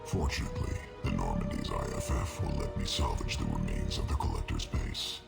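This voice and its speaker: Monstrously deep, growling alien crime lord. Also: male voice, animated, gravelly, full character voice. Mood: arrogant menace. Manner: formal, pompous, measured menace. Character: huge alien crime lord, cold, arrogant and menacing. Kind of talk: video game cutscene acting. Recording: music behind the voice.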